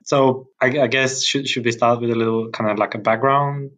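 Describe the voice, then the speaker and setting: A man's voice: medium pitch, steady tone, normal speed, a bit bright. A man in his thirties from Bulgaria, a native Bulgarian speaker, chatting as a podcast guest in an informal, hesitant, rambling way. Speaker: podcast guest, tech founder. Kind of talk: casual conversation.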